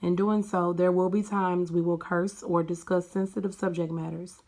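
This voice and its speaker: Flat-voiced woman reading a show intro. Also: on the low side. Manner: measured, read-out delivery. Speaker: podcast intro announcer.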